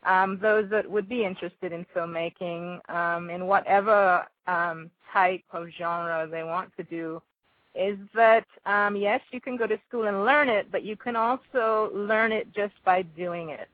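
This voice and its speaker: A woman's voice: medium pitch, a little slow, no echo, narrow, tinny audio. A woman with a Jamaican accent giving thoughtful, conversational answers as an interview guest.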